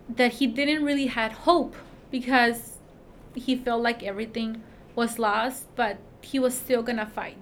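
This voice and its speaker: A woman with a Mexican accent who works at a school, answering interview questions in a halting way, with many fillers and restarts. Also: a little high, slight background noise. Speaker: school staff member.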